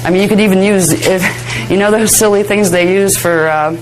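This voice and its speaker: A woman with a hoarse voice giving a spiritual workshop lecture, chatty and digressive, laughing often. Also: mid-range voice, steady tone, normal speed, music in the background, poor audio. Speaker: spiritual teacher. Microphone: handheld microphone near face.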